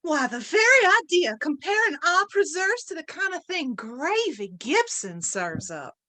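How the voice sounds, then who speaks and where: A woman's voice: extremely high pitch, big pitch swings, measured pace, sharp tone, heavily acted. A woman playing a haughty, offended small-town wife in a radio western, spluttering and scolding. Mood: outraged, scolding. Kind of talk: old-time radio western acting.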